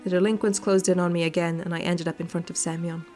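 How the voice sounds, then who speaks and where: Low-pitched woman narrating a game. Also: level tone, fast, darkish tone. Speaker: gaming video host. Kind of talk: visual novel playthrough, narration with casual commentary. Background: game music.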